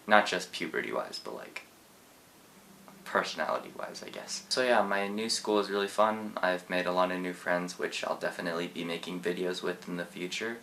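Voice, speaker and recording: Teen boy vlogger from Japan, laid-back rambling storyteller, slightly low voice. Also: normal speed, bright, forceful tone, slight background noise. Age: teens. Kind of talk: casual storytelling.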